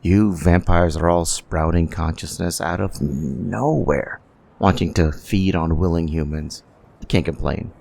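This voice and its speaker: A man's voice: deep voice, measured pace, creaky, a little mellow, full character voice. A man playing a wry, deferential proprietor who sells willing humans to vampires, talking like a theatrical, obliging salesman in an ASMR roleplay. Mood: brisk, assertive. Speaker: shopkeeper.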